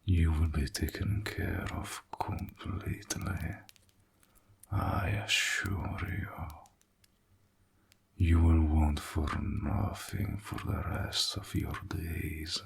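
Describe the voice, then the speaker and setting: Man acting a gravelly, slow, seductive warlock villain. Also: a bass voice, flat delivery, darkish tone, slight background noise, heavily acted. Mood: possessive, reassuring. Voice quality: gravelly, husky, breathy voice. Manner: slow, deliberate, teasing, half-whispered. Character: seductive, menacing male warlock villain, smug and possessive. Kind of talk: intimate roleplay audio drama monologue.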